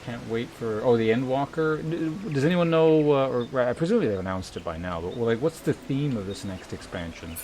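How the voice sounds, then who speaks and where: A man's voice: medium pitch, lively intonation, moderate pace, hardly any pauses, darkish tone, a little hiss or hum. A man live streaming a game, chatting casually with viewers in a rambling, polite way as he thinks aloud. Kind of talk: casual chat while streaming a game, reading viewer messages. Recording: music behind the voice.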